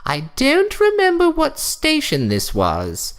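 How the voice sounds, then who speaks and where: A woman's voice: high-pitched, huge pitch swings, takes it slowly, heavily acted. A woman playing a snooty, fussy older wife in a comic story reading, with a prim, theatrical, condescending delivery.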